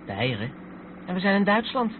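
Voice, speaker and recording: A man's voice: high-pitched, sing-song, moderate pace. An actor playing a curious, rather naive co-driver who questions his companion in a radio drama. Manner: inquisitive. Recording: tinny narrow-band radio recording with vehicle noise.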